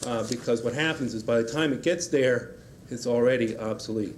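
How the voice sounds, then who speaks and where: A man's voice: mid-range voice, fairly quick. A man giving a public comment at a school board meeting, firm and urging. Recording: 1990s public-access broadcast with faint hiss.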